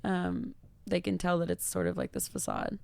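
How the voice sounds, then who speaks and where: A woman with a naturally raspy voice, chatting openly and reflectively as a podcast guest, with lots of fillers. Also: fairly low pitch, wildly swooping pitch, normal speed, darkish tone. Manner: open, reflective, heavy use of fillers. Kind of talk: casual podcast chat.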